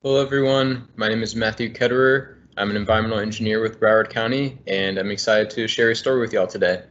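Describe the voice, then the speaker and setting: Um homem de uns trinta anos, engenheiro ambiental, lendo um livro infantil em voz alta, de forma amigável e cadenciada, numa chamada de vídeo. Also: male voice, fairly low pitch, fairly even intonation, fast, a bit bright. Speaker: environmental engineer. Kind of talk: reading a children's book aloud. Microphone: laptop webcam on video call. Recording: muffled video call audio.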